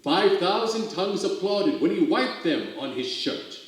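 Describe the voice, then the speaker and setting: A man giving a dramatic poetry recital, with expressive, theatrical storytelling and drawn-out emphasis. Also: high-pitched. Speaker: reader of poetry for a theater company. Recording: very echoey, roomy sound.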